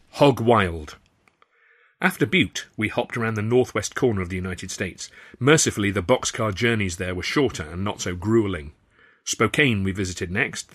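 A man reads his own story aloud in a wry, measured manner. His voice is low but bright in tone, with a husky grain. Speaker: author and narrator. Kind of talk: scripted audiobook narration.

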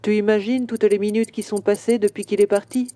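A woman voicing a grieving male bronze statue in a children's audio story, slow and wistful. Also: mid-range voice, flat delivery, dark tone, dry recording, natural voice. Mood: sorrowful.

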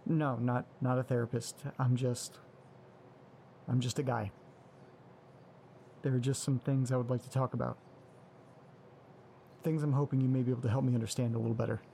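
Man playing a nervous young man, hesitant, apologetic, mellow dark voice, audio drama. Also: mid-range voice, flat delivery, moderate pace, vocal fry, pauses often, slight background noise, close to an everyday voice. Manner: hesitant, apologetic, stumbling over words. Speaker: lead character.

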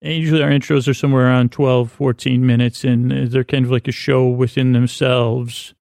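Male podcast host for sleepy listening: creaky, meandering, repeats himself, gently absurd monologue, very dry recording. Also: mid-range voice, flat delivery, no echo. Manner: meandering, halting, repeats and trails off mid-thought; whimsical, self-deprecating. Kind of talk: rambling bedtime podcast monologue.

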